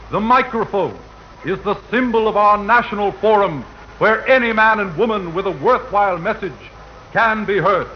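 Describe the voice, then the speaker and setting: A male radio announcer with a high voice delivers formal, oratorical copy in 1940s style. His delivery is stately. The old broadcast is muffled, with some faint noise. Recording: dull, noisy 1940 radio broadcast.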